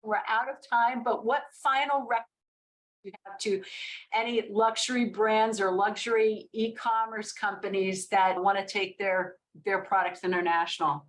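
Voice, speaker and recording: A woman's voice: medium pitch, fairly even intonation, unhurried, poor audio. A woman hosting a casual interview podcast, talking in a conversational way and often affirming her guest with yeahs. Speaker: podcast host.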